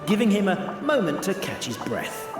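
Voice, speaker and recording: Hammy, animated cornered minion. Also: male voice, high voice, moderate pace. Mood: mock-dramatic. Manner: hammy, stammering, mock-storybook narration. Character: cornered, cheeky, theatrical male minion narrating his own escape. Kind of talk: video game voice acting. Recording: echoey hall reverb, music behind.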